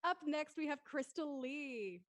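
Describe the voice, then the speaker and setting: A woman's voice: high voice, expressive, unhurried. A woman hosting an online cast discussion, introducing people in an encouraging way. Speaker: host introducing cast members. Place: online group video call.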